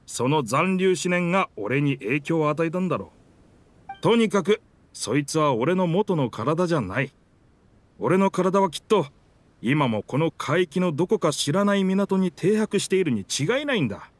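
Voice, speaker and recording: A man voicing a proud, boastful talking pirate ship in a Japanese game dub, with theatrical, rough masculine speech. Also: fairly high pitch, big pitch swings, normal speed. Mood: reflective. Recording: music under the dialogue.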